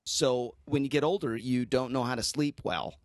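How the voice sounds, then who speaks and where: A man's voice: medium pitch, normal speed, no echo, clean studio sound. A man co-hosting a casual chat show who sums up a news story and jokes about himself in a wry way. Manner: wry, self-mocking, joking.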